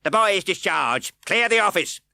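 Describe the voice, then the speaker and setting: A man's voice: high voice, animated, normal speed, gravelly, dry recording, studio quality, voice pushed up, full character voice. A man reading aloud and voicing a harsh, sneering male magistrate who barks orders and insults. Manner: barking, insulting, shouting orders.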